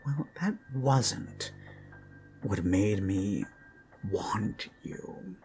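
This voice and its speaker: A man performs a creepy, lovesick male captor in an intimate ASMR drama. He speaks slowly and haltingly, with wide swings from hushed murmurs to pointed emphasis, menacing and adoring at once. Music plays under the voice. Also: on the low side, sing-song, exaggerated voice. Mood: brooding, intimate. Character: obsessive male yandere kidnapper, gushing, menacing, self-abasing zealot. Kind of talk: intimate ASMR roleplay monologue. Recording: background music under close voice.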